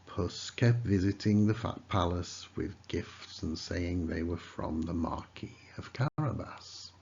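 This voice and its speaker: A man reading a scripted children's story as narrator, in a deliberate, expressive storytelling manner. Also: deep voice, gravelly, muffled recording, a little hiss or hum.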